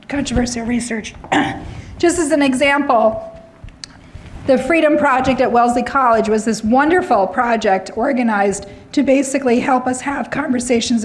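A middle-aged woman lecturing on academic freedom, slightly hoarse, often clearing her throat and adding wry asides. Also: fairly high pitch, low-quality recording.

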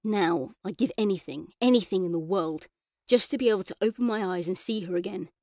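A woman reading a children's audiobook as a chatty, earnest young boy telling his own story, expressive and colloquial. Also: medium pitch, fairly quick, no echo, studio quality, lightly acted. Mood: wistful. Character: boy narrator in a coma, chatty, frustrated, yearning. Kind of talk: first-person children's audiobook narration.

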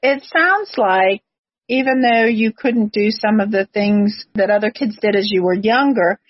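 A woman's voice: fairly high pitch, dry recording. A woman hosting an interview podcast, moving between scripted introductions and questions for a guest. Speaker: podcast host. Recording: dull, lo-fi, slightly muffled audio.

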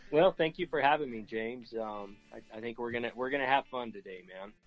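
A middle-aged American man from New Mexico talking casually as an interview guest, in a folksy, halting way. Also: medium pitch, no echo, soft background music. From New Mexico, United States. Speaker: podcast guest, game and fish officer. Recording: dry, dull lo-fi sound.

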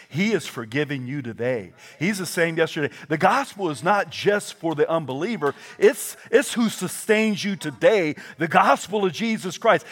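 A middle-aged Southern American preacher delivering a sermon. He drops into soft, hushed asides, then climbs into loud, shouted declarations. He tells stories and acts out what other people said. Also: medium pitch. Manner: animated storytelling with quoted voices.

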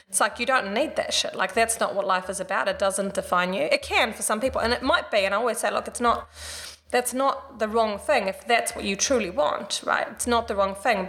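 A woman's voice: medium pitch, a bit bright. A middle-aged woman from Sweden with a Swedish accent, answering interview questions in a chatty, casual way full of "like" and "you know". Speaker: interview guest, business founder.

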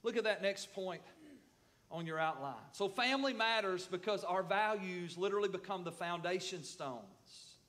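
A man's voice: sits high, normal speed, a bit bright. A middle-aged man preaching a sermon as pastor, emphatic, with repetition and calls for the congregation to respond. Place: church sanctuary.

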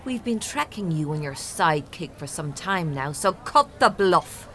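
A woman's voice: fairly low pitch, big pitch swings, a little slow, fairly bright tone, a little hiss or hum. A woman playing a mocking, assured undercover fraud-squad detective in an audio drama. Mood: triumphant, mocking.

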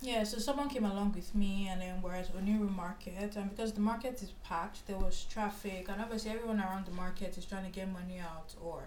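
A woman from Nigeria tells a story at an easy pace, chatty and animated, with plenty of "like" and "you know". Her voice sits mid-range and carries a noticeable roomy echo with a faint hiss behind it. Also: mid-range voice, brisk, few pauses. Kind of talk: casual storytelling chat.

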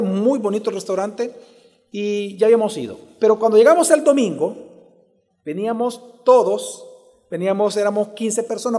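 A Salvadoran pastor with a high-pitched voice delivers a sermon, moving from a personal story to emphatic preaching. He keeps asking his congregation questions and answering them himself. His voice rings out through a reverberant church hall. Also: darkish tone. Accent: Salvadoran Spanish.

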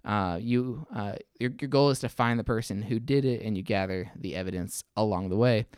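A man in his thirties answering interview questions conversationally, often halting and restarting his words. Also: lowish voice, darkish tone, smooth, dry recording, clean studio sound. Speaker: interview guest, attorney.

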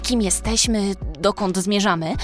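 A woman's voice: mid-range voice, unhurried, natural voice. A woman voicing a proud male dog giving an emphatic speech from a stage in a children's audio story. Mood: proud, oratorical. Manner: declamatory, emphatic. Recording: music behind the voice.

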